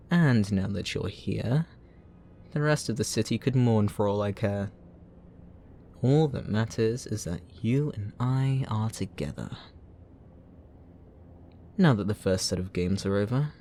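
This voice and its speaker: A man playing a gloating, obsessive supervillain in a roleplay ASMR audio drama, teasing and possessive, with a theatrical, drawn-out delivery. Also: on the low side, a little mellow, slight background noise. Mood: possessive, menacing.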